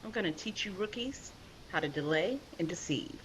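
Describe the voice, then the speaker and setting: Woman playing tough cocky young heroine, lowish voice, wry, drama read, slightly hissy audio. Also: animated, normal speed, close to an everyday voice, low-quality recording. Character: tough, cocky young female survival leader. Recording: faint noise and hiss.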